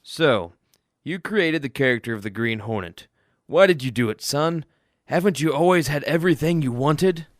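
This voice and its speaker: Actor as a gruff, impatient father in radio drama. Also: on the high side, animated, normal speed, no echo, studio quality, close to an everyday voice. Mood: probing, incredulous. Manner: blustering, brusque, interrogating. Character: gruff, impatient, demanding father of a grown son. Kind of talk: old-time radio drama acting.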